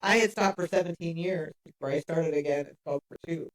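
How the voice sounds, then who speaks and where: A woman in her fifties with a gravelly voice, chatting as a podcast guest and laughing often. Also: deep voice, a little fast, low-quality recording. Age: 50s. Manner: laughs often, lively storytelling. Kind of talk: casual chat. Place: a workshop.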